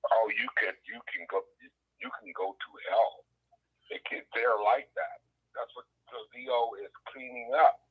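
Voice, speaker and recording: Husky older man on a dull line. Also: medium pitch, steady tone. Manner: halting, with many fillers and restarts. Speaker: co-host. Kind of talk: casual phone-in chat.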